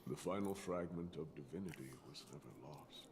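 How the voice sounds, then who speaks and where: An actor playing a grave man on a quest for lost divinity in a fantasy game, speaking in a measured, formal, portentous way. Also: a bass voice, noisy background. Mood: grave, reflective. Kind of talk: scripted fantasy game dialogue.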